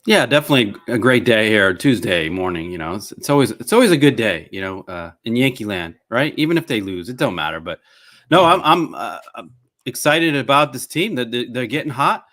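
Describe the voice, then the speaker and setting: Guy co-host on a sports chat, regular pitch, easygoing, lots of you-knows. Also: very animated, a little fast, fairly bright tone.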